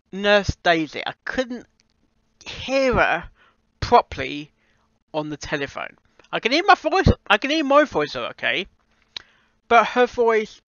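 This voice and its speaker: Man, casual unscripted vlog talk, forceful bright tone, halting, repeats himself, dry lo-fi sound. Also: high voice, no room sound. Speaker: amateur voice actor reviewing a fan fiction audio reading.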